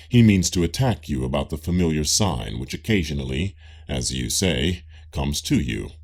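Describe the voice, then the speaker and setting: Male audiobook reader for classical texts: low, slightly rough voice, lively intonation, measured and formal. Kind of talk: scripted reading of a classical dialogue.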